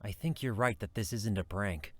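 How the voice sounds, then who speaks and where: A man's voice: lowish voice, moderate pace, dry recording, close to an everyday voice. A man acting as an earnest, timid police deputy in an audio drama, hesitant and apologetic.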